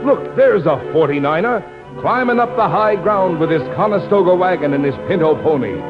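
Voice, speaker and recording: A man's voice: high voice, animated, a little fast, music in the background. A man with an American accent announcing and narrating a 1950s radio show in a formal, theatrical style. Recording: dull 1950s radio broadcast, orchestra behind.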